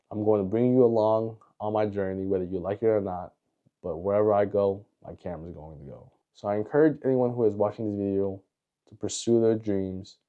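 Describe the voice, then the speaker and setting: A man in his twenties with an American accent, speaking in an earnest, deliberate, reflective way as in a confessional video diary. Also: on the low side, warm tone, highs cut off. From United States. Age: 20s. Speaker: vlogger.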